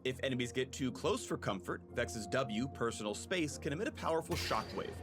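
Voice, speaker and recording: A man's voice: medium pitch, animated, brisk. A man reading scripted promotional narration as the narrator of a video game character spotlight. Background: game music.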